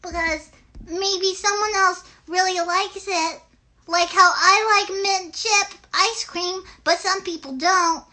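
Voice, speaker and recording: Very high, childlike girl puppet. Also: slow, heavily acted. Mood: earnest. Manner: childlike, hesitant, simple excited phrases. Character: Alexa, sweet eager little girl puppet. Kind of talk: interactive live-streamed puppet show.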